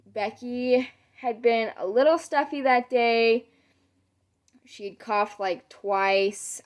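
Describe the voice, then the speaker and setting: A young woman vlogger with a hoarse, nearly lost voice, casually telling a long story to camera with lots of "um"s. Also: mid-range voice. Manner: rambling, many "um"s, retells conversations. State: losing her voice, sore throat.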